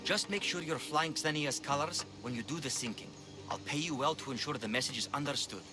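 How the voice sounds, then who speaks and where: A man's voice: fairly high pitch, brisk, lightly acted. Man as blunt pirate lieutenant, music behind. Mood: forceful, satisfied. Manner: blunt, world-weary. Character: gruff, overworked, ruthless pirate lieutenant. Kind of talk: video game cutscene acting.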